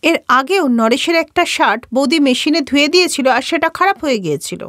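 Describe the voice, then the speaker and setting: A woman's voice: high voice, animated, fast talker, a bit bright, slight background noise. Haughty young office-going daughter-in-law, a woman doing her, cartoon dub. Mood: fussy. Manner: snappy, demanding, dismissive.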